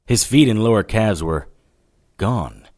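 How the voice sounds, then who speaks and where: An actor playing a sardonic 1940s detective who narrates a noir audio drama and trades wisecracks. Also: male voice, lowish voice, expressive, measured pace, darkish tone. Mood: grim, weary. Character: wry, hard-boiled 1940s male occult detective narrator.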